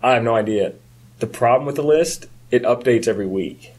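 Fast-talking, higher-voiced man. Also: a little mellow, husky. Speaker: podcast co-host. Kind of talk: casual film chat.

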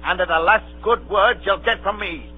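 An actor playing a stern, defiant ship's captain in an old radio drama, giving clipped, authoritative orders. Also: male voice, high voice, normal speed, slightly rough. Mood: cold, defiant. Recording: tinny old radio recording with hum.

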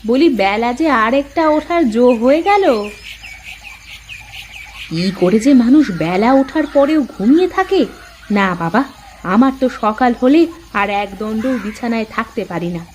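A woman plays a nagging housewife from a village cartoon. Her voice sits very high, and she speaks in colloquial rural phrases with a scolding, sarcastic edge. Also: animated, unhurried, pauses often, a little mellow, a little hiss or hum. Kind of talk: Bengali folk-tale cartoon dubbing.

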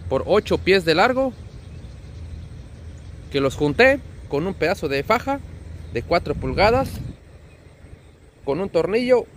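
High-pitched, animated Mexican man. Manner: friendly, colloquial, with diminutives and slang. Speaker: trucker vlogger. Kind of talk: casual explanatory vlog. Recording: outdoor ambient and vehicle noise.

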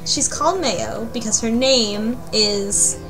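Female panelist, highish voice, informal group-chat delivery, music clearly behind her. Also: takes it slowly. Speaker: podcast panelist. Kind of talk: casual chat.

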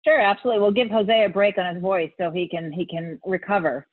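A woman's voice: mid-range voice, fairly even intonation, fast. An American woman in her forties moderating an online event, explaining instructions to listeners. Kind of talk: explanatory call to action. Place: bright room at home, on video call. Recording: thin, phone-like video call audio.

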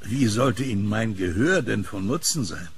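A man's voice: fairly low pitch, expressive, normal speed, a little hiss or hum. A man playing an earnest, questioning kiosk keeper in a German horror radio play. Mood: puzzled. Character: puzzled kiosk keeper with keen hearing, earnest. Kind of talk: German audio horror drama acting.

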